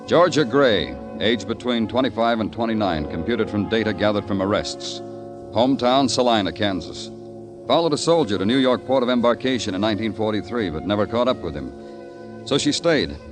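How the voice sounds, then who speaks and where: A man plays a world-weary police detective in a vintage noir radio drama, with prominent music behind. His voice is fairly low, terse and hardboiled. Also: lively intonation, moderate pace, lightly acted. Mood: weary, methodical. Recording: old radio broadcast with music.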